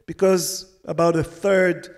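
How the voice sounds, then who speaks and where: A man on a discussion panel, answering in a measured, explanatory way with hesitant fillers. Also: fairly high pitch, steady tone. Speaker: panel speaker.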